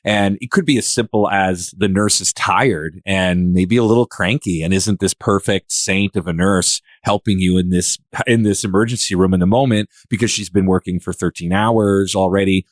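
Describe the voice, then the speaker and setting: Male podcast host, very low register, dry studio sound, conversational and a little meandering. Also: fairly even intonation, moderate pace, few pauses, dry recording. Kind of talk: casual discussion.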